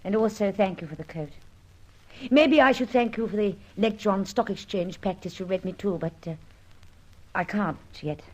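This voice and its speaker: A woman's voice: medium pitch, normal speed, lightly acted. An actress playing a young woman in an old-time radio detective adventure. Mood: cool, guarded. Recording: dull vintage radio recording.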